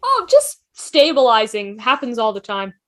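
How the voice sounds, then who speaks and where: A woman's voice: a little high, huge pitch swings, moderate pace. A woman playing a clever, curious, chatty time traveller in an amateur drama table read, breezy and inquisitive. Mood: reassuring. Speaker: table read performer and narrator. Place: online video call table read. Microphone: video call.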